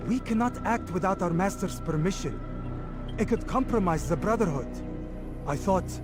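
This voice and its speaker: An actor playing a cautious, dutiful Assassin, grave and admonishing, in a dramatic video game. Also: male voice, sits high, expressive, normal speed. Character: cautious, dutiful male Assassin brother, disapproving. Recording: music behind the voice.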